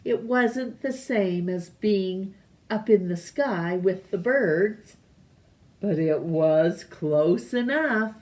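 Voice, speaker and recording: A woman reads a children's picture book aloud at a very slow pace. She is deliberate and leaves pauses between phrases, with a mid-range pitch. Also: expressive, darkish tone. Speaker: storytime reader.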